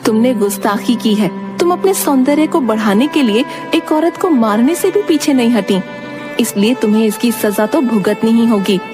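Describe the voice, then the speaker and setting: A woman's voice: fairly high pitch, lively intonation, normal speed, music in the background, lightly acted. A woman voicing a stern divine fairy with magic powers, solemn and admonishing, in a cartoon tale.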